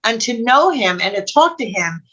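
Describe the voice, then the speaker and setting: A woman's voice: on the high side, sing-song, moderate pace, pushed and bright, dull audio. An older woman telling anecdotes casually on a video call, chatty and digressive. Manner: chatty, digressive, quotes people as she tells stories. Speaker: guest storyteller. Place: home room, indoors.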